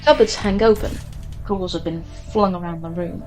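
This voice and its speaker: A woman reading a scripted horror story in the second person, with a measured, grave delivery. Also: on the low side, a little mellow. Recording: music behind the voice. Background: background music.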